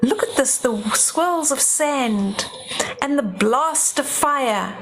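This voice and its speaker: A woman's voice: sits high, expressive, takes it slowly, a bit bright, slight background noise, low-quality recording. An older woman reading a storybook aloud to young children, dramatic, with simple explanatory asides.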